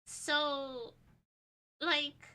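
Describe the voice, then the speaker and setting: A woman's voice: sits high, fairly even intonation, extremely slow, pushed and bright, rough recording. A young woman streamer whose first language is Portuguese, chatting casually while tipsy, giggling and rambling with crude jokes. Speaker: vtuber streamer.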